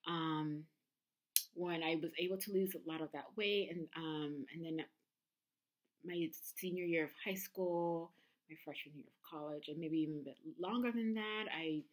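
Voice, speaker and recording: Woman, 30s, slightly low voice, candid vlog talk, hesitant with ums, camera mic at home. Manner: candid, halting, many ums and restarts. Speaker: vlogger. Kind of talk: personal confessional vlog.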